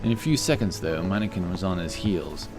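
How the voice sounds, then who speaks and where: A man with a very low voice narrates a fantasy tale in scripted audiobook style. Clear music plays behind him throughout. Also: animated, fairly quick.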